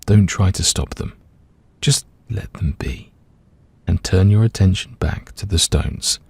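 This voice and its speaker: A man gently and calmly leading a scripted guided meditation, letting his voice soften at the ends of phrases. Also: sits low, a little slow, gravelly, husky, no room sound. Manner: gentle, calm, soothing, hushed at phrase ends.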